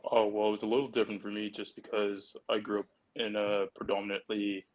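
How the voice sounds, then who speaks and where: A man speaks in a low, flat, even tone. He talks in a measured way and pauses to find the right words. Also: poor audio. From Barbados. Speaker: track and field athlete panelist. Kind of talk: casual panel talk.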